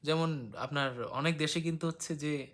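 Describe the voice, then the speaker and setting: A man explaining things informally in a discussion, hesitant and agreeable, with frequent filler pauses. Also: on the high side, fairly bright tone. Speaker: talk participant.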